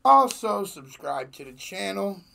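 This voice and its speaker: A young man with a hoarse, gravelly voice pitched on the high side chats slowly and casually as a reaction host. He laughs easily and speaks close to a desk microphone in a small home room. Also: very animated, pushed and bright. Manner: slangy, laid-back, laughs often. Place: small home studio room with jerseys on wall. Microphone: large desk microphone in front.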